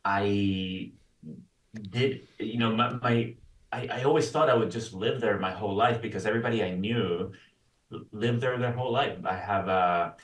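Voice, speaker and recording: A low-pitched man, recorded in a roomy space away from the microphone. He tells personal stories casually as an interview guest, often restarting his phrases. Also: normal speed. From Caracas, Venezuela. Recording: roomy echo, distant from mic.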